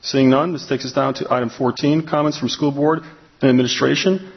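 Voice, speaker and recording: Gravelly fast-talking board chair. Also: male voice, medium pitch, steady tone, highs cut off. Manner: brisk procedural reading. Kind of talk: formal meeting procedure.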